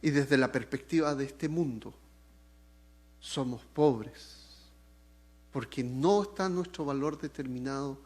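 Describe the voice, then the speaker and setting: A man from Chile with a Chilean accent, preaching a sermon with lively swings in pitch and loudness and joking asides to the congregation. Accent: Chilean Spanish. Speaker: pastor.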